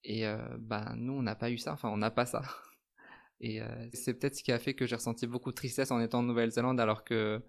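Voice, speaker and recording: Young male guest from France, soft and thoughtful, halting delivery, mid pitch. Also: fairly even intonation, gravelly. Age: 20s. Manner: soft-spoken, hesitant, thoughtful. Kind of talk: reflective personal testimony.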